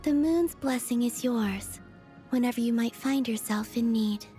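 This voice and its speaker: Woman voicing a soft, high, dreamy moon goddess. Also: soft background music, close to an everyday voice. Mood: serene, gentle. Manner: gentle, unhurried, dreamy, politely detached. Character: serene, dreamy young moon goddess, gently curious and naive. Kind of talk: video game character voice lines.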